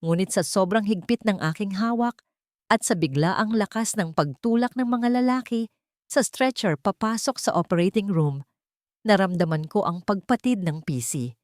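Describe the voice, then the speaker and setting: A Filipino woman reads a dramatic story in a low voice, close and dry. Her delivery is solemn and theatrical, like a scripted first-person audio drama. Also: darkish tone, no room sound.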